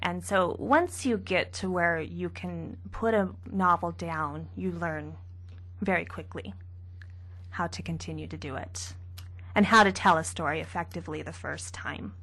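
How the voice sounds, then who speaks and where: Soft-spoken young woman author. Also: fairly low pitch, measured pace, slightly rough. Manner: soft-spoken, light laughs. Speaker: author guest. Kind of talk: radio interview.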